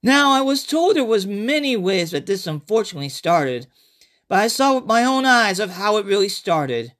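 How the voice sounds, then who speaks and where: A man reading a first-person horror story as a chatty survivor, with animated, emphatic storytelling. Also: high voice, moderate pace, voice pushed up. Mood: animated, foreboding. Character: chatty survivor narrator recounting an outbreak horror tale.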